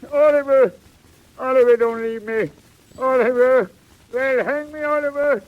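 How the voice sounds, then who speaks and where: An actor playing an old criminal, wheedling and pleading in a theatrical 1940s audio drama style. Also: male voice, very high-pitched, measured pace, narrow, tinny audio. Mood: desperate pleading. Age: older. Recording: hissy vintage 1940s record.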